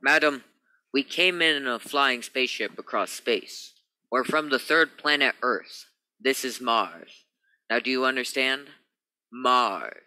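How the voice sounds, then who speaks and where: A man's voice: medium pitch, unhurried, sharp tone, smooth, low-quality recording. A man acting a skeptical, commanding spaceship captain in a radio play, emphatic and authoritative. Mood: insistent.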